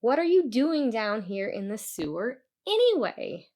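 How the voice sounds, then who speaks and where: Woman doing a high, cheeky little leprechaun man. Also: sing-song, measured pace, darkish tone. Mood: amused, puzzled. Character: tiny male leprechaun, smirking, guarding his gold.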